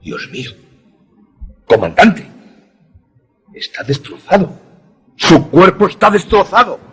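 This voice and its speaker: An actor in a radio drama playing a stern, impatient superior officer in charge of a test. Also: male voice, sits high, very animated, slow, slightly rough, soft background music, heavily acted. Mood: horrified. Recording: loud siren noise behind the voice.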